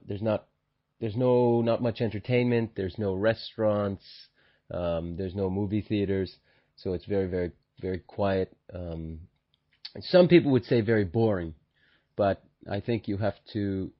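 A very low-pitched man answers casually, pausing often with ums as he thinks aloud. The recording is slightly muffled. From Canada. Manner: hesitant, many ums, reflective.

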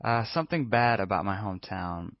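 A man with a low, gravelly voice gives a thoughtful, hesitant answer. The sound is dry and close, with a slightly muffled quality. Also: expressive, brisk, dry recording. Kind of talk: casual spoken answer.

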